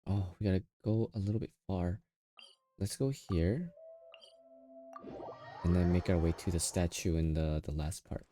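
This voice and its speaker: A man's voice: sits low, steady tone, normal speed, long pauses. A man doing casual live commentary as he streams a video game, reacting aloud as he plays. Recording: game music behind the voice. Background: video game music.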